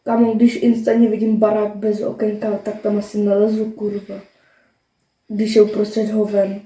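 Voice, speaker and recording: A woman's voice: mid-range voice, monotone, mellow. A young woman chatting casually as co-commentator, blunt and teasing with slangy swearing. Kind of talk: casual reaction chat. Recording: roomy echo, faint noise and clicks.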